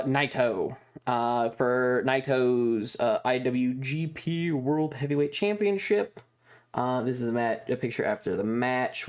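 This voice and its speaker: A man streamer talking casually and rambling through his material, halting often with stretched pauses. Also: medium pitch. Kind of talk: casual livestream chat over trip photos and videos.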